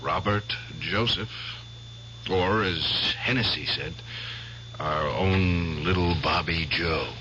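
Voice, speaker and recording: An actor playing an obsessed war veteran in a 1970s radio drama, intense and bitter. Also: male voice, sits low, slow pace, pushed and bright, a little hiss or hum, heavily acted. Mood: menacing. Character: obsessed veteran hunting an old comrade. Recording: dull vintage radio broadcast.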